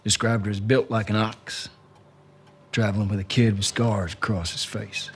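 An actor playing a bitter, weary, rugged middle-aged man in a game, with a halting, folksy drawl. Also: on the low side, flat delivery, a little hiss or hum, close to an everyday voice. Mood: subdued, earnest. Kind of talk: naturalistic video game cutscene acting.

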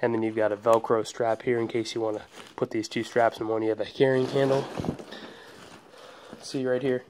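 A young man casually walking through a product at home, relaxed and rambling with lots of ums and restarts, filmed on a handheld phone. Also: medium pitch, normal speed, long pauses, slight background noise. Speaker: product reviewer. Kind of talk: casual hands-on product walkthrough. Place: indoors, carpeted room at home.

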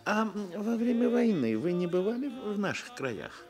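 An actor playing a genial, sly landowner of about sixty in a radio drama, chatty and hesitant with veiled menace. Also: male voice, sits high, sing-song, moderate pace. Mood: chatty, wry. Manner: chatty, hesitant, chuckling, insinuating. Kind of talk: Soviet radio drama acting. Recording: noisy vintage radio drama recording.

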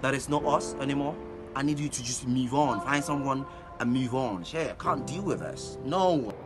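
An actor playing an exasperated, dismissive boyfriend abroad in a phone-call drama, speaking emphatically and impatiently. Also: male voice, mid-range voice, animated, normal speed, music in the background, natural voice. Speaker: actor in a comic relationship drama.

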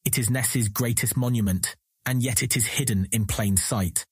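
An actor playing a captured thief, earnest, proud and eloquent, speaking formally and measuredly in a fantasy audio drama. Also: male voice, medium pitch, normal speed, breathy edge, no room sound, studio quality. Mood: solemn sincerity.

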